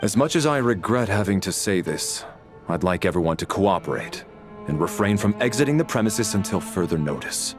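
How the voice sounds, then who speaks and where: An actor playing a composed authority figure leading an inquiry in a video game, formal and measured. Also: male voice, lowish voice, very animated. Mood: stern, calm. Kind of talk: dramatic game voice acting. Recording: loud string music behind.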